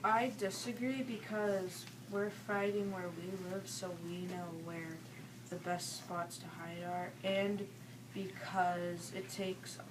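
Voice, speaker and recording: A young female student arguing in a classroom debate, halting and repeating phrases. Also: medium pitch, fairly even intonation. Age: child or young student. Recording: clearly noisy room.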